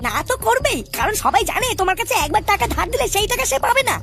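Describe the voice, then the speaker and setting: Bengali cartoon grandmother, very fast and wildly high, animated nagging and ranting. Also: creaky, faint music behind, heavily acted. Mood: furious, bitter. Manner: scolding, sarcastic, ranting. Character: furious, nagging old Bengali grandmother, bitter wife. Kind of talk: Bengali comedy cartoon dialogue.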